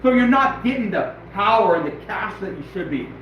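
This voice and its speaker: Hoarse man talking from far away. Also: high-pitched, brisk, a little hiss or hum. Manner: rambling, laughing, blunt and excitable. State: hoarse, losing his voice. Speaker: trapper and channel host. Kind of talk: casual livestream chat. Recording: very echoey, distant, dull and lo-fi.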